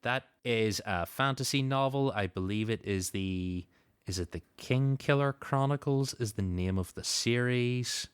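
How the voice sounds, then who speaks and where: A man's voice: mid-range voice, unhurried, clear, resonant tone, no echo. A man hosting a podcast, moving between show announcements and casual chat. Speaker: podcast host.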